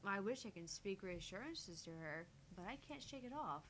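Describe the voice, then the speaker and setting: A woman streamer reading a visual novel aloud as theatrical first-person narration, with deliberate phrasing. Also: medium pitch, lively intonation. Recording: loud background noise, electrical hum, clicks.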